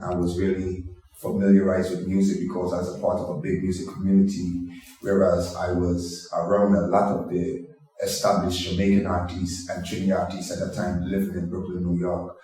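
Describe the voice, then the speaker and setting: A middle-aged man, a dancehall artist, telling his story in a calm, measured way and often adding "you know". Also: low voice. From Guyana. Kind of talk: reflective interview storytelling. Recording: echoey room, mic far off.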